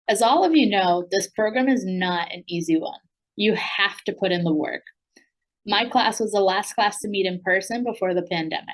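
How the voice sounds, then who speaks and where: An American woman in the middle of her range reads a prepared speech at a graduation event. Her delivery is earnest and steady, as a program alumna speaking to new graduates. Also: mid-range voice, rough recording. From United States. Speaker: program alumna and language coach.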